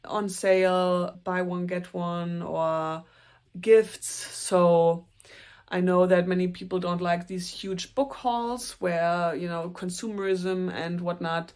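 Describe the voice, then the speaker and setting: Chatty German-accented woman, slightly low voice. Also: steady tone, takes it slowly, clean tone. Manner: chatty and earnest, with soft self-conscious laughs. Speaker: booktuber. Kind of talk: casual book talk to camera.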